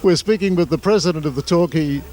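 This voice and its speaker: An Australian field reporter delivers a local news piece with upbeat energy. His voice sits a little high for a man. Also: fast, darkish tone.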